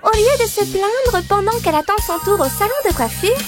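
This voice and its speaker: Over children's music, a little cartoon girl dog. Her voice is extremely high and girlish, with a chirpy, perky manner. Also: expressive, a little fast. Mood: thoughtful. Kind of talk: French-dubbed children's cartoon acting.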